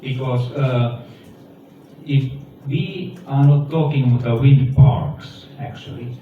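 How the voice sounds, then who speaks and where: A man from Finland with a Finnish accent, speaking halting, plain-spoken English as a company head, pausing so an interpreter can follow him. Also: mid-range voice, fairly even intonation. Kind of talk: speech with consecutive interpreting. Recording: echoey hall, far from mic, dull sound, noisy.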